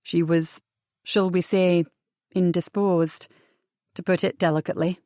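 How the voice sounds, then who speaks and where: A woman playing a reserved, diffident male architect in an audiobook, speaking in a measured, understated, hesitant way. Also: fairly low pitch, fairly even intonation, no echo, clean studio sound, pitched below the performer's own voice, lightly acted. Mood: delicate, awkward. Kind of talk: audiobook character dialogue.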